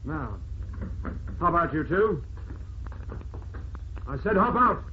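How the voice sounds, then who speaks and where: A methodical crime boss in an old radio play. His voice sits a little high and stays matter-of-fact, with sardonic menace. The recording is tinny and narrow, with faint noise. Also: big pitch swings, slow. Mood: drily sardonic. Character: calm, methodical criminal ringleader, coolly sardonic. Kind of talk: old-time radio drama acting.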